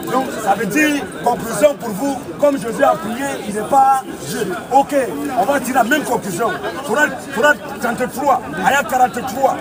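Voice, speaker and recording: High-pitched, combative man debating in the street. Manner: insistent, combative, repeats phrases and challenges. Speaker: street preacher debating Muslims. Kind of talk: heated street religious debate. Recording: distant mic amid loud outdoor crowd.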